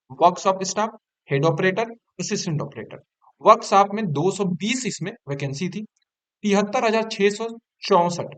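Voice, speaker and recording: A man with a fairly high voice talks as an online presenter. He explains things informally, like a tutor addressing students, and repeats key points and numbers to drive them home. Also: rough recording. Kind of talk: informal explanatory talk to exam candidates.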